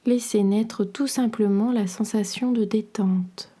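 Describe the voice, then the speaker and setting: Slow, mellow woman guiding relaxation. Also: medium pitch, steady tone. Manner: soothing, measured, instructional delivery. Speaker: podcast host and yoga nidra guide. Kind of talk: guided relaxation meditation.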